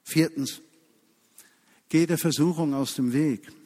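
Man preaching in a reverberant hall. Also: fairly high pitch. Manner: emphatic, with slow drawn-out key phrases and hushed drops. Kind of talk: sermon to a congregation.

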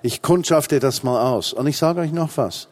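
A man's voice: mid-range voice, normal speed. A Swiss man in his forties with a Swiss German accent, preaching a humorous sermon with emphatic repetition. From Switzerland. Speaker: pastor.

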